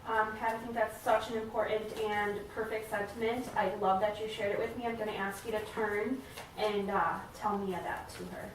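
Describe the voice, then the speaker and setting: A woman's voice: mid-range voice, little pitch movement, moderate pace, natural voice. A woman playing an encouraging, careful family therapist in a role-played session, speaking hesitantly and affirmingly. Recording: very echoey room, distant mic, faint noise.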